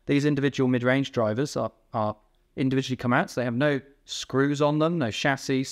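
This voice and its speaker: A man in his thirties giving an informal technical explanation, haltingly rephrasing as he goes. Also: medium pitch, fairly even intonation. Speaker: technical director explaining a product. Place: indoor company workroom.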